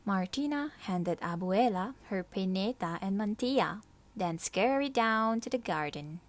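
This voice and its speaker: Slow-paced woman reading a storybook. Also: mid-range voice, expressive. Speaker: storybook reader. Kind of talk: expressive scripted children's story narration.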